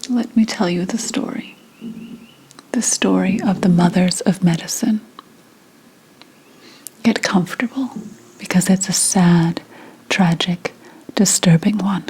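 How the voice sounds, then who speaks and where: A woman playing a mystical, brooding 17th century witch healer in a breathy, whispered ASMR roleplay, telling things solemnly with deliberate pauses. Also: on the low side, darkish tone, heavily acted, rough recording. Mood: ominous, hushed.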